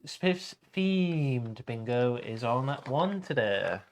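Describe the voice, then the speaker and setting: A man co-hosting a live show in a casual, halting way, repeating and restarting his words. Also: mid-range voice, sing-song. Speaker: show co-host.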